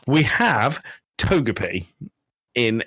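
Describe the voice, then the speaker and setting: A man's voice: medium pitch, sing-song, slow pace, bright, forceful tone, breathy edge, dry recording, low-quality recording. Exasperated, sweary man in dry close sound. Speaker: podcast co-host. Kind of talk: casual banter and debate.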